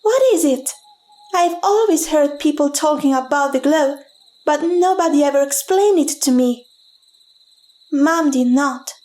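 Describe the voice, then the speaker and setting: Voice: high-pitched, a little slow, darkish tone. A young voice playing a curious, dreamy half-elven little girl in a fantasy audio drama.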